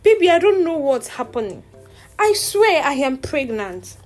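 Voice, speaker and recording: Female narrator as young schemer, high and swooping, panicky, defiant, shrill, for a folktale drama. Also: big pitch swings, a little slow, soft background music. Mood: panicked. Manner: shrill, theatrical. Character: desperate young woman liar, panicky and defiant.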